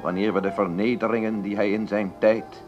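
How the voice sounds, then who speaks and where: Acteur als plechtige documentaireverteller met muziek erachter. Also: male voice, on the low side, level tone, brisk, a little mellow, close to an everyday voice. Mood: solemn. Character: formal male narrator of a political biography tape. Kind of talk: scripted documentary narration inside a radio play. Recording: dull tape with music behind.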